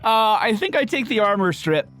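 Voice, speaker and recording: Lively, higher-pitched man commentating on a game. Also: normal speed. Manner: thinks aloud, playful, repeats phrases. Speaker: gaming video host.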